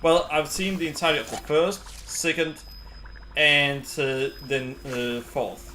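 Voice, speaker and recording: Halting male game streamer, slightly high voice. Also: fairly even intonation, pushed and bright, slight background noise, rough recording. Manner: halting, thinking aloud. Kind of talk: casual game commentary. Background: game music.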